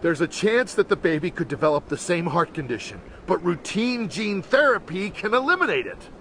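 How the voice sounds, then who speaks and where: A man's voice: sits high, very animated, normal speed, fairly bright tone, slight background noise. A man playing a worried, insistent brother-in-law in a video game drama. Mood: pleading.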